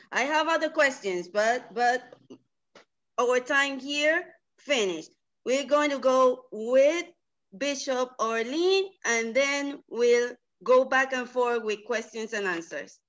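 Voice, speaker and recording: A fairly high-voiced woman moderates a panel very slowly and repeats words as she goes. Her voice sounds dull and lo-fi over the call. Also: fairly bright tone. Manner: halting, repeats words as she searches for them.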